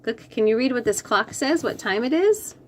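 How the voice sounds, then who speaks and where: A woman reading aloud to young children as host, expressive and encouraging, with chatty, teacherly asides. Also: on the high side, normal speed, a little hiss or hum. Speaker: children's story reader and host.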